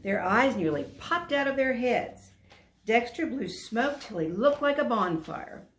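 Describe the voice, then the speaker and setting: A woman volunteer narrating a children's story aloud in an expressive, emphatic storytelling manner. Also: a little high, a little slow, slight background noise. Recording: roomy echo.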